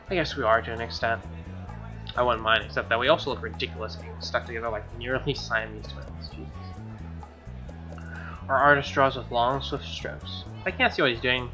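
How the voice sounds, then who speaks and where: A man's voice: medium pitch, pushed and bright. A man reading a visual novel aloud as a let's play host, with wry, joking casual asides. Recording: dull lo-fi sound, music behind.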